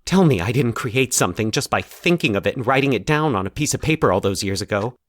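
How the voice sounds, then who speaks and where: A man acting a troubled, anxious man in a fiction podcast, confiding his nightmares haltingly and with dramatic pauses. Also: medium pitch, animated, no room sound, studio quality, lightly acted. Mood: pleading, anxious. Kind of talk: dramatic audio fiction monologue.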